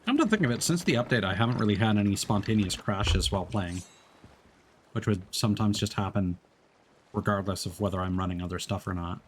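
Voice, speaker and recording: A man's voice: on the low side, animated, brisk, a little hiss or hum. A man streaming a game, talking casually with lots of fillers and restarts. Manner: rambling, many fillers and restarts. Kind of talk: casual gameplay commentary.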